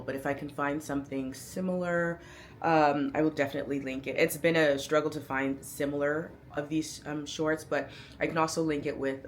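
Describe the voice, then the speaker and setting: Woman with a low voice, chatty and upbeat. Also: slight background noise. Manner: chatty, upbeat, playful slang, hypes herself up. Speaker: fashion and lifestyle vlogger. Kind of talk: casual outfit breakdown to camera.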